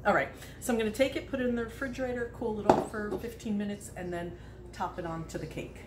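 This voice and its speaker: A woman's voice: medium pitch, fairly even intonation, quick pace. A woman in her sixties hosting a casual home cooking demonstration in her kitchen, chatty and rambling, laughing often, recorded on a nearby phone. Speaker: home cooking video host. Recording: echoey kitchen with background noise.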